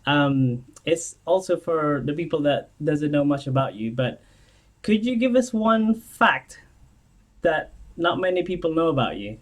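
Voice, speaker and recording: A man hosting an interview in a conversational style, asking questions in a halting way. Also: fairly high pitch, expressive. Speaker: interview host.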